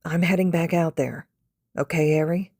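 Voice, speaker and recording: A woman's voice: low-pitched, steady tone, normal speed, a little mellow, dry recording, studio quality. A woman voicing a blunt, defiant teenage boy vigilante in an audio story, curt and dismissive. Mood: determined.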